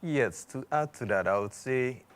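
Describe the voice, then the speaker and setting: A man, a doctor guest speaking in a measured, reflective way. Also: mid-range voice, expressive. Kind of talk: expert commentary on a talk show.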